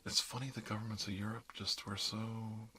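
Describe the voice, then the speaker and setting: A man's voice: fairly low pitch, level tone, moderate pace, pushed and bright, husky. A man playing a chatty, friendly dentist in an improvised ASMR roleplay, talking in a hesitant, rambling way that drops into half-whispers. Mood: chatty, musing. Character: chatty, friendly emergency dentist treating monsters, mildly bigoted. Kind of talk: ASMR roleplay, improvised one-sided conversation.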